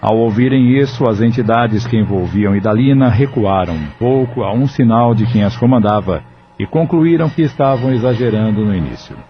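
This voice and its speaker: Man, low voice, audio drama narrator, measured delivery, muffled sound. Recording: dull lo-fi audio with soft music.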